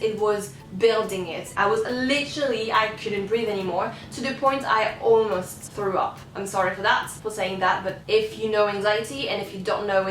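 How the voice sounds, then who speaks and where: A woman's voice: medium pitch, fairly bright tone, a little hiss or hum. A young woman and singer, speaking English as a second language, earnestly telling a personal story to her viewers. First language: not English. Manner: earnest, open and confessional. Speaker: singer and vlogger. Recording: roomy sound, slightly distant from the mic.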